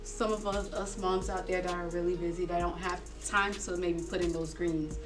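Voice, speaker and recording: A woman's voice: lowish voice, fairly even intonation, normal speed, far from the mic, over music. A woman hosting a podcast, interviewing a guest in a casual, friendly way, chatty with fillers and frequent laughs. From South Florida. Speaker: podcast host.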